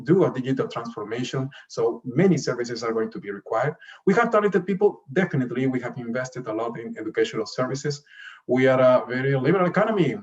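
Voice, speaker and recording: A man delivers an official slide presentation in a mid-pitched voice. He speaks haltingly, repeating and rewording as he goes. The sound is slightly muffled, like a video call. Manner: halting, repeats and rephrases words. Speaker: investment promotion director presenting. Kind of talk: slide presentation to an online summit. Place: remote online video call. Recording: dull, lo-fi video call audio.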